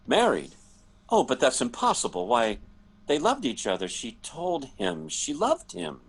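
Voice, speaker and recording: A man acting in a 1940s-style radio drama as a courteous, bewildered uncle back from travels, polite and halting. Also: mid-range voice, wildly swooping pitch, breathy edge, exaggerated voice. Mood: bewildered, dismayed.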